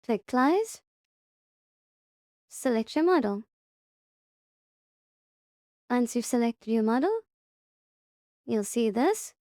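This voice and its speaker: A woman presenting a step-by-step software tutorial in short, simple instructional sentences. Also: on the high side, sing-song, slow pace, long pauses, dry recording, clean studio sound.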